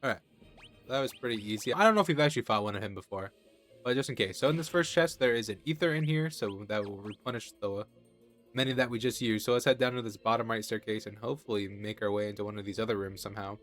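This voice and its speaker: A man's voice: fairly low pitch, fast, soft background music. A man with a cold, sounding nasal, casually commenting as he plays a video game. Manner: relaxed, chatty, thinking aloud. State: has a cold. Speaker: gaming channel host.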